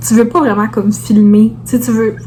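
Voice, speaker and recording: A woman's voice: fairly high pitch, flat delivery, brisk, mellow, a little hiss or hum. A woman with a Québécois French accent chatting casually to viewers as a vlogger, informally peppering her talk with English words.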